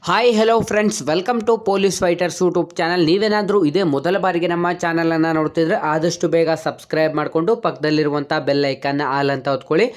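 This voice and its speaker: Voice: mid-range voice, steady tone, normal speed, hardly any pauses. An online exam-prep teacher and channel host presenting a quiz lesson to viewers, in an instructional manner with repeated phrases. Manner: repeats questions and answers, instructional.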